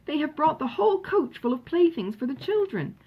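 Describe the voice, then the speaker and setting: A woman voicing a hearty, insistent older country gentleman in a storybook, coaxing with emphatic exclamations. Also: sits high, very animated, a little fast, muffled recording, voice pushed up. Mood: eager, coaxing. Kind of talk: acted dialogue in audiobook narration.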